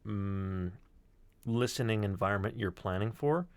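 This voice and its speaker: A man with a fairly low voice chats casually as a sound designer. He is an animated storyteller who swears freely, and the sound is close and dry. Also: unhurried, clean tone, dry recording.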